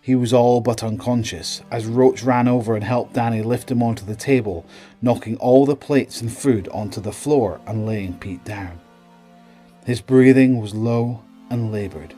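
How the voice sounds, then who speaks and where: A man's voice: medium pitch, unhurried, dark tone, soft background music. A man giving scripted, dramatic narration for a story.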